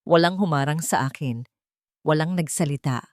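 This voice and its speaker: A woman with a Filipino accent narrating a scripted dramatic story in the first person, in a measured, emotive way. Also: low voice, no echo.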